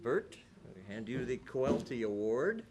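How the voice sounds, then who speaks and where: A man speaking haltingly and informally as he presents an award at a club meeting. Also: medium pitch, sing-song, a little mellow, slight background noise. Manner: halting delivery.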